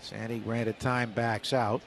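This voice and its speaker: Male baseball play-by-play caller, mid-pitched, live radio commentary with light ballpark noise. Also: takes it slowly, vocal fry. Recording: steady stadium ambience behind live broadcast. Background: ballpark ambience.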